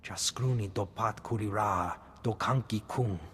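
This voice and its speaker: A man's voice: on the low side, unhurried, a bit bright, long reverb tail, slight background noise. A man voicing a male alien in a video game, declaiming in clipped phrases of an invented tongue. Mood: declamatory.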